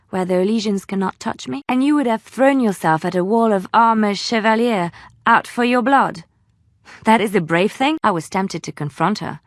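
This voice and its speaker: A woman plays a young female bard with a spy's past in a dramatic fantasy video game. She speaks with a French accent at a middling female pitch, choosing formal words in a measured, earnest way. She is soft-hearted yet cunning, and the recording is dry, with no room sound. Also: no room sound, close to an everyday voice. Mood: somber confession.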